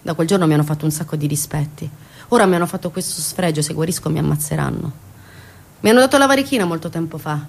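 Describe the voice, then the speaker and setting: A woman's voice: deep voice, fast, gravelly, voice pushed down. An actress voicing a dying fifteen-year-old girl in a stage monologue, plaintive and resigned as she testifies. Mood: weary, resigned. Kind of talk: theatrical monologue.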